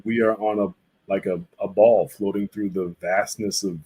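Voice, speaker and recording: A man with a low, husky voice talks casually as an interview guest. He is a visual artist who explains his work informally, reflecting as he goes. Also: steady tone, normal speed, dark tone.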